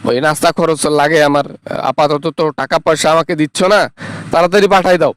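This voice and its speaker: A greedy, sarcastic grown son, acted by a man in a political parody skit. His voice is very high for a man, recorded dry. He scolds and demands with open scorn. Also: fairly even intonation, fast, smooth, no room sound, natural voice. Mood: demanding. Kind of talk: satirical phone-call parody skit.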